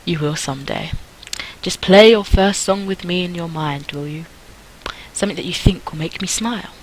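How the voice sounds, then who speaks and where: A woman voicing a fond, courtly nobleman with a soft, coaxing, formal manner. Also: fairly low pitch, normal speed, a little hiss or hum, lightly acted, low-quality recording. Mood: gently coaxing.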